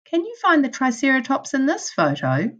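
A woman teacher reading a children's storybook aloud in a deliberate way, addressing young children. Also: high voice, wildly swooping pitch, a bit bright. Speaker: primary school teacher.

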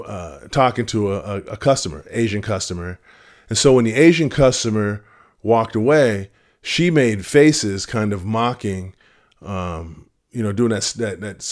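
Brief: deep-voiced man in his fifties, earnest home vlogger, low and measured, thoughtful restarts, close to the camera. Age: 50s. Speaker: retirement vlogger. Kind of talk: casual advice talk to camera. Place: home room with shelves of vinyl records. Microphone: camera at close framing.

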